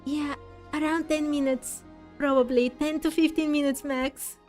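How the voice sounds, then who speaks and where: High-voiced, unhurried woman streamer. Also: steady tone, a little mellow, soft background music. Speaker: game streamer. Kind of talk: casual live-stream commentary with chat.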